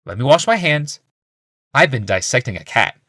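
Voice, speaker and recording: A man's voice: medium pitch, big pitch swings, normal speed, pushed and bright, dry recording, studio quality, voice pushed up. A man voicing a young male healer in an audiobook, matter-of-fact, dutiful and faintly smug.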